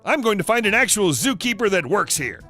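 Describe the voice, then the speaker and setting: Pushy dad, insistent and questioning, pitched a little high, read by a male narrator. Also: very animated, fairly quick, pushed and bright, faint music behind, full character voice. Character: pushy dad confronting a zookeeper, man.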